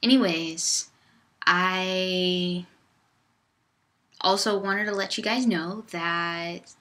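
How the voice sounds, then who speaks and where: A young woman talks to her webcam in a loose, upbeat ramble, her voice low for a woman yet bright and crisp. She sounds close to a laptop mic in a small room. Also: fairly even intonation, very slow. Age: young adult. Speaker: vlogger. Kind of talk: casual vlog chat. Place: small dorm room, indoors. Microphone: laptop webcam at desk distance.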